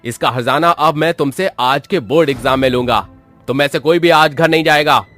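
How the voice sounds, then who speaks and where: A man's voice: fairly high pitch, normal speed, fairly bright tone, voice pushed up, natural voice. Man voicing a menacing vengeful ghost teacher, music behind. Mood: angry threatening. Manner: threatening, commanding. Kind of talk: dramatic horror story character acting.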